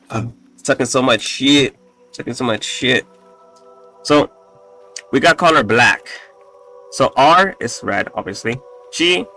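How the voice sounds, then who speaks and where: A man casually walking through his code, halting often with fillers and restarts, with a slightly raspy voice and the odd swear. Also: mid-range voice, bright, forceful tone. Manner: halting, full of fillers and restarts, profane asides. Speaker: coding tutorial host. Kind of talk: casual screen-recorded coding walkthrough.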